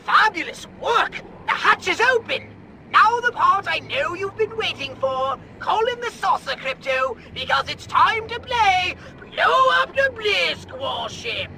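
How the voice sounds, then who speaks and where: A man playing an excitable, scheming, pompous alien commander in a video game, verbose and theatrical, prone to bursting into shouts. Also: very high voice, a little slow, background noise, heavily acted. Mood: gleeful excitement. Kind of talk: animated video game acting.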